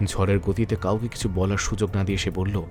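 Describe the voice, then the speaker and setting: Low-voiced man narrating a suspense story. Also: little pitch movement, a little fast, darkish tone, soft background music. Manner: literary, dramatic storytelling.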